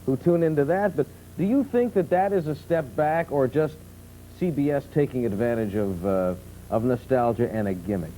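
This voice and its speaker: A man hosting a talk show, putting halting, musing questions to his guest in a conversational way. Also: mid-range voice, animated, warm tone. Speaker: talk show host, interviewer. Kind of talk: conversational interviewing. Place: television studio with audience. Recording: muffled 1970s television tape with hum.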